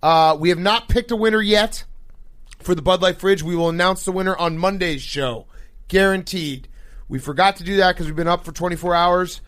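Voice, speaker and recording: Guy podcast host, kinda high voice, dry close sound, jokey sports banter, swears a lot. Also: normal speed, a bit bright, no echo. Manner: profane, joking.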